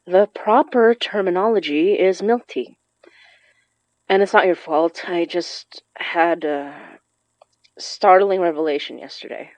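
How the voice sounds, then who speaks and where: An actress playing a young woman who works magic, tired, wry and protective, with a brisk, matter-of-fact delivery in a naturalistic audio drama. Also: lowish voice, a little mellow, no room sound, low-quality recording. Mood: confiding.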